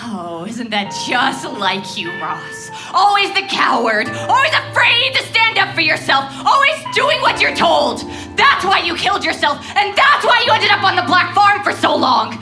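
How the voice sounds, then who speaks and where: A woman's voice: very high-pitched, sing-song, normal speed, few pauses, bright, forceful tone, faint music behind, exaggerated voice. A woman playing a defiant, hot-tempered and scornful captive in an audio horror drama. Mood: furious, scornful. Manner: combative, biting.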